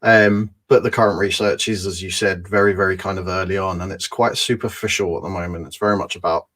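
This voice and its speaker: A man in his forties, with a fairly low voice, speaks as a guest over a video call. He talks thoughtfully and casually, with many fillers and restarts along the way. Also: fairly even intonation, quick pace, fairly bright tone. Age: 40s. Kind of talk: casual online talk.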